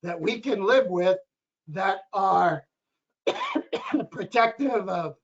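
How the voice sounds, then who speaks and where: A hoarse man with a high-pitched voice talks at a town planning board meeting, rambling and repeating himself. The sound is dull and lo-fi, like local cable TV audio. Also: wildly swooping pitch, drawn-out words. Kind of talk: meeting discussion.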